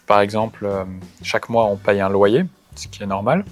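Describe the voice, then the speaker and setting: A man's voice: lowish voice, normal speed. Hesitant man in his thirties, from England. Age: 30s. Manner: hesitant, frequent "euh" fillers. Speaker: guest. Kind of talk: casual discussion.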